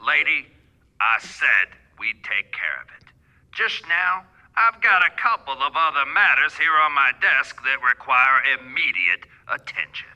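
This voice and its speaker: A man's voice: medium pitch, big pitch swings, a little slow, sharp tone, highs cut off, heavily acted. A man playing a weary, brusque police sergeant in a suspense radio play, condescending, placating and dismissive. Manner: condescending, placating, matter-of-fact.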